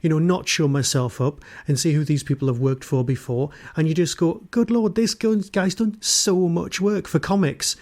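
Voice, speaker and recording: Slightly higher-voiced man, chatty podcast host. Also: normal speed, few pauses, darkish tone. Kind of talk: casual chatty interviewing.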